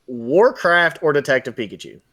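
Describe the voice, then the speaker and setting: A man's voice: on the high side, sing-song, moderate pace, fairly bright tone. A man co-hosting a podcast, talking in a casual, conversational style. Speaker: podcast co-host. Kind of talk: casual chat, running a movie bracket game.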